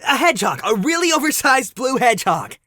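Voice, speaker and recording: A woman playing an excitable, panicky teenage boy in an audio drama, frantic and exasperated. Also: high-pitched, a little fast, no room sound, studio quality, exaggerated voice.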